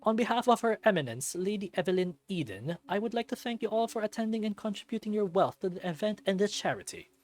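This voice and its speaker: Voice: mid-range voice, lively intonation, fast talker, few pauses, voice pushed up, lightly acted. A narrator voicing a showy, self-important male fennec host who makes grand, projected announcements in a visual novel. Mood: grand, gracious. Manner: pompous, projected announcer delivery.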